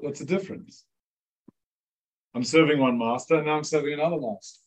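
A man's voice: a little high, fairly quick, low-quality recording. A man who is a rabbi giving an informal lecture to a live group of students. He talks casually, fills his sentences with "like" and keeps asking the room questions. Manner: casual and chatty, many "like" fillers, questions to the audience. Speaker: rabbi teaching a class.

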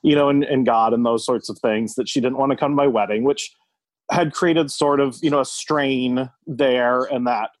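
A man's voice: mid-range voice, steady tone, moderate pace, dry recording. Man telling a personal story, dry sound.

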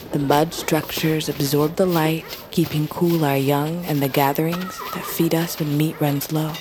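A prickly young butcher woman, proud and stung by old slights, telling of her tribe in measured tones, played by a woman. Her voice sits low, in an audio drama with faint noise behind. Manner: terse, resentful, storytelling.

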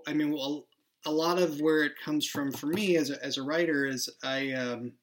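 A man's voice: fairly high pitch, fairly even intonation, normal speed, a bit bright. A man who writes and created the show, talking casually and thinking aloud about his characters. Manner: reflective, thinks aloud with restarts. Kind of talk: casual behind-the-scenes chat.

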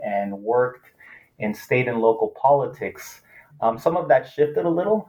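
A man with a lowish voice talks through his research in an interview, thoughtful and unhurried in thought, pausing on fillers and restarting phrases as he works out each point. He grew up in Los Angeles, and Spanish was his first language. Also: highs cut off. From Los Angeles, United States. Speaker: author, political scientist. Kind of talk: academic interview.

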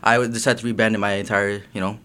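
A young man from Guyana, a guest talking about his business in a relaxed, casual way, full of "um" and "you know". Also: on the low side, rapid-fire, clear, resonant tone. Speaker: guest, hot sauce business owner. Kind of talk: casual interview chat.

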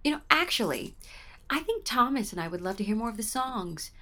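An actress playing a gushing, eager theater collaborator in an audio comedy, effusive and emphatic. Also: female voice, on the high side, very animated, a little fast, pushed and bright. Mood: brightly insistent. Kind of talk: audio comedy drama acting.

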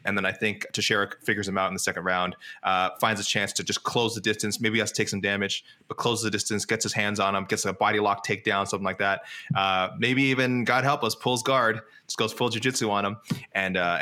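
Male panelist, quick and bright, rambling conversational sports analysis with frequent self-corrections. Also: on the low side, little pitch movement. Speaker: panelist on an MMA preview show. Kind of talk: casual sports discussion, fight prediction.

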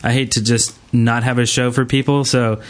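A man hosting a podcast in casual chat, talking in a halting, rambling way. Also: medium pitch, level tone. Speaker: podcast host. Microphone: online voice call.

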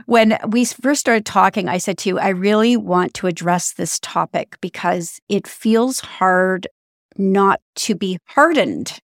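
A woman's voice: medium pitch, measured pace, dry recording, clean studio sound. A woman hosting a podcast interview, friendly and reflective, sharing personal stories. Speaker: podcast host.

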